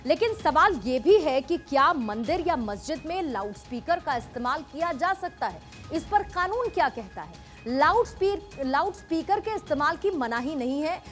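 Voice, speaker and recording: High-pitched woman presenting TV news. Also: fairly bright tone. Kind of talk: scripted Hindi TV news explainer narration.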